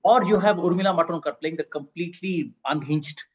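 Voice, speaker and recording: A man's voice: on the high side, a little fast, lo-fi sound. A man with an Indian accent speaking as an author on a discussion panel, emphatic and often checking with "right?".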